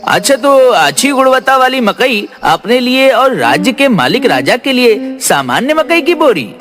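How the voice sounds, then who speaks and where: A man's voice: very high-pitched, very animated, normal speed, a bit bright, full character voice. A man voicing a bossy, indignant royal cook in a comic cartoon, scolding and insistent. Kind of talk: cartoon comedy story acting. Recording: music behind.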